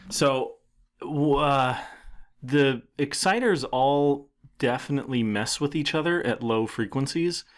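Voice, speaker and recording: A man presenting a software tutorial in a casual, unscripted way, thinking aloud as he explains what he is doing. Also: medium pitch. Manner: informal, thinking aloud with trailing phrases.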